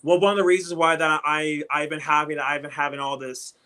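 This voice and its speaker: Bright-voiced man in his thirties chatting. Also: fairly high pitch, little pitch movement, brisk. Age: 30s. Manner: rambling, repeats words when starting sentences. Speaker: livestream host. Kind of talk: casual livestream chat.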